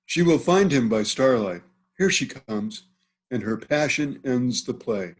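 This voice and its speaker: Man playing a teasing noble spectator at a bad play; husky, wry, everyday amateur reading on a video call. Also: mid-range voice, animated, unhurried. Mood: dry mockery. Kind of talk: amateur Shakespeare table read. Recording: home video call recording.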